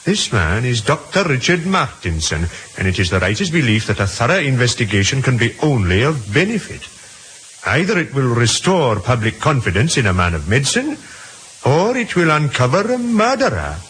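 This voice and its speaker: A male actor playing a dutiful, dry police detective in an old radio drama, speaking crisply and with deference. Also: mid-range voice, very animated, normal speed, fairly bright tone, poor audio. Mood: dry, puzzled. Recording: hissy old radio recording.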